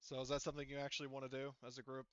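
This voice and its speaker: A man's voice: medium pitch, monotone, rapid-fire, smooth, no room sound, muffled recording. A man running a tabletop roleplaying session as game master, talking conversationally and often searching for his words.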